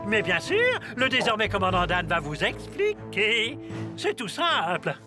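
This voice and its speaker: An actor playing a boastful, scheming old submarine second-in-command in a French cartoon, theatrical and gloating. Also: male voice, high-pitched, wildly swooping pitch, measured pace, music in the background, full character voice. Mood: smug. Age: older.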